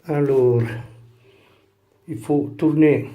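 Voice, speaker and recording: A man's voice: on the high side, fairly even intonation, very slow, muffled recording. A man whose first language is Italian, talking through a camera monitor setup in a casual, hesitant way with many fillers and restarts. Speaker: vlogger reviewing camera gear. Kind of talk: casual product tutorial.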